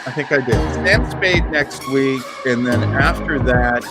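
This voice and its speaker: A man's voice: medium pitch, unhurried, over loud music. A man hosting a live listening show, chatting casually and enthusiastically with his audience. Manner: enthusiastic, effusive. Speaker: host of a live radio-mystery listening show. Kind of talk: casual livestream chat with listeners.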